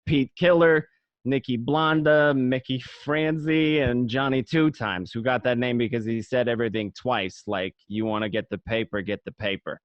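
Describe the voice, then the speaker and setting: A man's voice: medium pitch, steady tone, normal speed, dry recording, lightly acted. A man playing a cocky gangster who narrates his life of crime in an amateur radio play. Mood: nostalgic. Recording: dry, dull home recording.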